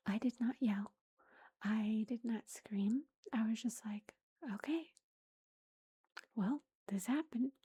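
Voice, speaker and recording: A woman's voice: fairly high pitch, dark tone, breathy edge. Whispering woman telling a home story. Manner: whispering throughout, chatty with fillers. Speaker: vlogger. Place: indoors at home. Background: lawnmower faintly outside.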